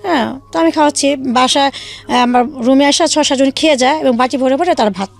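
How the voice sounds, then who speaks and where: A young woman from Bangladesh, telling her life story to an interviewer in a halting way with many fillers. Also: high voice, vocal fry, faint music behind. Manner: halting, many fillers and restarts, re-enacts dialogue. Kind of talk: casual spoken storytelling.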